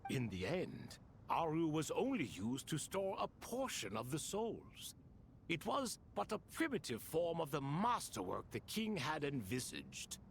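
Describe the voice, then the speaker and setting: Theatrical, slow-talking ancient desert storyteller. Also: male voice, fairly high pitch, huge pitch swings, gravelly, a little hiss or hum, exaggerated voice. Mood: wistful. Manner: grandiloquent, deliberate storyteller. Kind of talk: dramatic video game voice acting.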